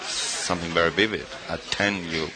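A middle-aged man from Argentina, a guest artist in a radio interview, speaking thoughtfully with frequent pauses and fillers. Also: low-pitched, steady tone, background noise. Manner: thoughtful, hesitant with many fillers.

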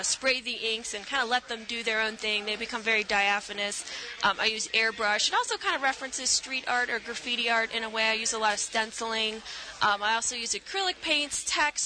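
Woman, 30s, very bright voice, slightly high, thoughtful with many ums. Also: a little hiss or hum. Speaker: artist and college professor. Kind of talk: radio interview guest.

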